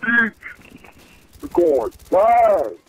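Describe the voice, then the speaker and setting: Brash, higher-voiced male prank caller. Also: sing-song, extremely slow, slightly rough, muffled recording. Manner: brash, taunting. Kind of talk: profane, slangy prank-call trash talk.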